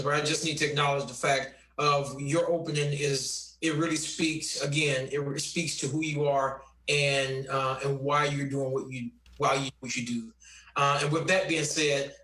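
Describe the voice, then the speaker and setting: A man moderating a town hall who talks quickly with fillers and restarts as he introduces a guest. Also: a little high, pushed and bright.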